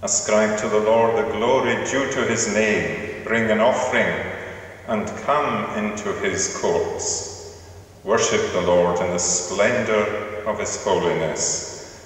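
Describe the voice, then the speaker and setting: A man from Scotland, a minister giving a formal welcome and reading scripture in a slow, measured manner in a large stone church. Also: lowish voice, a little hiss or hum. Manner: slow measured liturgical delivery. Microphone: far camera in church. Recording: echoey church from far off.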